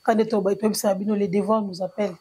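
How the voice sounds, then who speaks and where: A woman's voice: mid-range voice, steady tone, a little fast, warm tone, slightly rough. A woman hosting an online show who interviews a guest in a chatty, hesitant way.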